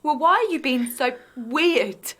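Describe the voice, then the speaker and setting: A woman's voice: sits high, lively intonation, unhurried, fairly bright tone, no echo. A woman playing an anxious, naive young mother in an intimate audio drama. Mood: distressed bewilderment. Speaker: actor and narrator in an audio drama. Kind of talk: intimate first-person audio drama acting.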